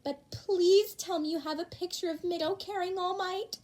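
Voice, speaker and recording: A woman voicing a bubbly, excitable teenage girl hero student, with a gushing, slangy, teasing manner. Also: very high-pitched, moderate pace, voice pushed up. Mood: excited.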